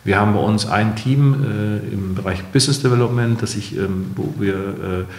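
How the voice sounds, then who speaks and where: A man's voice: fairly low pitch, normal speed. A middle-aged man answering interview questions as a company founder, searching for his phrasing and correcting himself. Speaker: company founder, podcast guest. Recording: roomy, echoey interview room.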